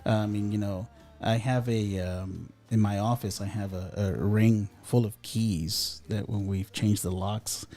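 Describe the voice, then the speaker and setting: A man, chatting casually as a radio station guest, relaxed and often laughing, dropping in Spanish words. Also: lowish voice, measured pace, few pauses, faint music behind. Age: 30s. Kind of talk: casual studio chat.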